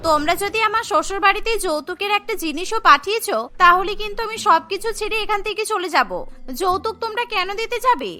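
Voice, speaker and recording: A woman's voice: very high voice, expressive, fast, bright, forceful tone, faint music behind, heavily acted. A woman voicing an affectionate, outspoken young sister and bride in a cartoon, pert and pleading. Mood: insistent.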